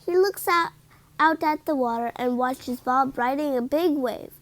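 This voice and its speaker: A woman reading a children's picture book aloud in an expressive, deliberate manner. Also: high-pitched. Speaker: read-aloud storyteller.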